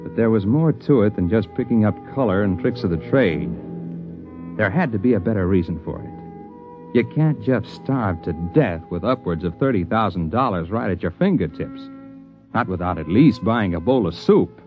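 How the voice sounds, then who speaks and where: A man's voice: fairly low pitch, animated, normal speed, natural voice. An actor playing a dogged, inquisitive man who narrates his own investigation in a vintage radio drama, conversational and wry. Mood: wry, reflective. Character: dogged, inquisitive male actor turned amateur sleuth. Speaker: first-person narrator and lead. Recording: muffled vintage radio recording with music.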